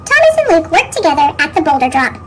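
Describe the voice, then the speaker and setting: Children's read-aloud: female narrator, sped-up chipmunk voice, very animated intonation, clear noise behind. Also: quick pace. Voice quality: artificially squeaky chipmunk voice. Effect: sped-up chipmunk pitch shift. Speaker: storybook reader.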